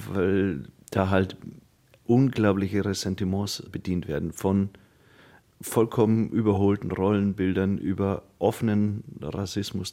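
A man with a lowish voice and a lot of vocal fry talks casually in an interview. He is reflective and colloquial, thinking his answers through as he goes. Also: warm tone. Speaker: interviewed fan.

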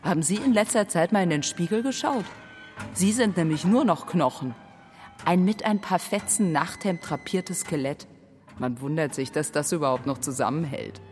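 An actress playing an indignant ghost of a daughter-in-law in a radio drama, formal and cutting. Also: female voice, lowish voice, moderate pace, a little mellow, natural voice. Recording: long hall reverb, faint music.

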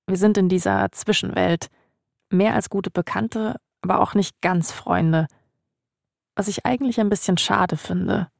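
A woman's voice: medium pitch, measured pace, creaky, dry recording, studio quality. A woman, speaking as a podcast host in narration and casual chat.

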